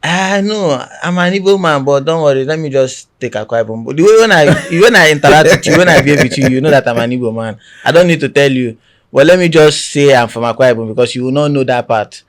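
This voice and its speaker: A man from Nigeria with a Nigerian accent, a guest in a podcast interview who talks in a chatty, relaxed storytelling way. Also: a little high, quick pace. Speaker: guest content creator. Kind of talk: casual conversational interview. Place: podcast studio.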